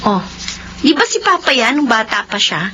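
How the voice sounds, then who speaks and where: A young woman playing a playful, teasing younger sister in a Filipino radio drama, with expressive delivery. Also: a little high, moderate pace. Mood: hopeful. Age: young adult. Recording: dull lo-fi radio, background noise.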